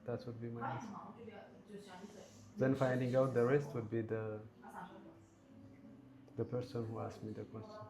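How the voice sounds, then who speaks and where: A man, answering interview questions in a measured, earnest way. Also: medium pitch, flat delivery. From Ethiopia. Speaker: guest. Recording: crowd chatter in background.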